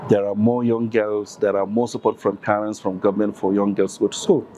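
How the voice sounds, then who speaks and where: Low-voiced Nigerian man, earnest. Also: little pitch movement, brisk, warm tone, slight background noise. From northern Nigeria. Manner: earnest, lists examples insistently. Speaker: podcast guest. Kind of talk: conversational interview.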